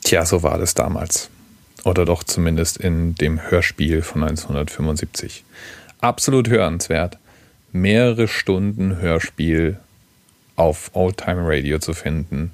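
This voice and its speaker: A man's voice: deep voice, steady tone, unhurried, dry recording. A man hosting a podcast with casual, chatty commentary and a wry, tongue-in-cheek manner. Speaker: podcast host.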